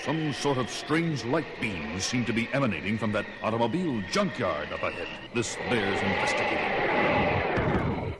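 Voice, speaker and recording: A man's voice: lowish voice, very animated, moderate pace, background noise. A man playing a proud, stern alien policeman with superpowers in a superhero cartoon, formal and melodramatic. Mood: haughty.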